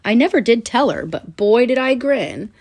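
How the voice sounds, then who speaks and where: A woman reading a rhyming children's picture book aloud in an animated, sing-song storytelling manner with deliberate pauses. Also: on the high side.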